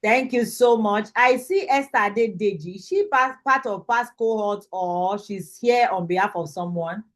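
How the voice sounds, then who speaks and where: A woman's voice: mid-range voice, normal speed. A woman with a Nigerian accent informally hosting an online event as its instructor on a video call. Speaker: host and lead instructor of an online graduation. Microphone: video call microphone.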